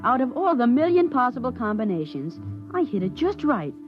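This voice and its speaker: A man's voice: extremely high pitch, sing-song, brisk, over loud music. An actor playing an eager, cheeky thirteen-year-old boy in a 1950s science fiction radio drama, with brash boyish slang. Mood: narrating. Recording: muffled vintage 1950s radio recording.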